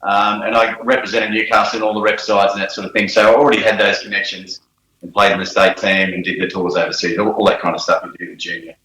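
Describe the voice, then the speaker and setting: Australian man, bright, in a roomy room. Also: lowish voice, fast. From Australia, New South Wales. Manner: relaxed storyteller, frequent fillers and laughs. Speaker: guest, former footballer. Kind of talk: casual interview chat. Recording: roomy echo.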